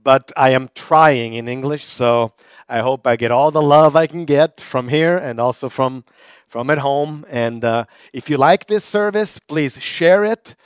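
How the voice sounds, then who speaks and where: Male pastor, crisp dry voice. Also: mid-range voice, dry recording. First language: German. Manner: conversational preaching with repetitions and fillers. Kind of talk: sermon.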